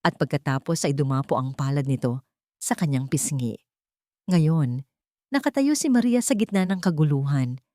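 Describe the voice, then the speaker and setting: A woman's voice: low voice, lively intonation, moderate pace, dark tone, no echo, studio quality. A woman reading a scripted love story as a dramatic narrator.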